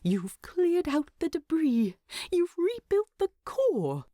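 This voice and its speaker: Woman playing a tipsy, high-voiced hobbit fellow. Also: huge pitch swings, slow pace, warm tone, dry recording, clean studio sound, full character voice. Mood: tipsy, earnest. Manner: tipsy whispering, earnest. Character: drunken, affectionate male hobbit, earnest and teasing.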